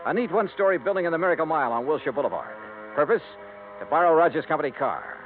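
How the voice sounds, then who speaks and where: An actor playing a hard-boiled, wry insurance investigator in a 1950s radio detective drama, blunt and pressing. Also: male voice, a little high, lively intonation, fast, faint music behind, lightly acted. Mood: brisk, narrating. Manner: blunt, pressing questioner, dry wry narrator. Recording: tinny, hissy 1950s radio recording.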